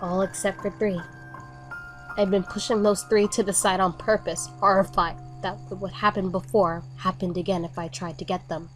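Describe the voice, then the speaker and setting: A woman narrating a first-person horror story in an expressive, dramatic way. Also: mid-range voice, moderate pace, low-quality recording. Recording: music under the voice. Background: music.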